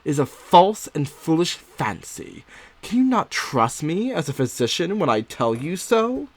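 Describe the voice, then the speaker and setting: A man's voice: a little high, big pitch swings, measured pace, voice pushed up, full character voice. A man voicing a patronising Victorian physician husband, fond but stern, in condescending, emphatic audiobook dialogue. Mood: stern, pleading. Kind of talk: dramatic audiobook character dialogue.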